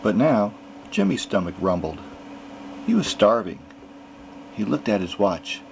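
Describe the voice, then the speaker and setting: Man reading a kids book out loud, low deep voice, storytelling vibe. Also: measured pace, gravelly, a little hiss or hum, poor audio. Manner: storytelling, expressive. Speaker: reader. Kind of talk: picture book read-aloud.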